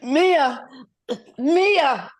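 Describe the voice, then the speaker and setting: Frightened mother acted by a woman: high pitch, halting and pleading, desperate, for a dramatic script reading. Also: lively intonation, heavily acted. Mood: frantic. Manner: halting, pleading, repeats herself. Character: desperate, frightened mother obeying her child's kidnapper. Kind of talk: screenplay table read.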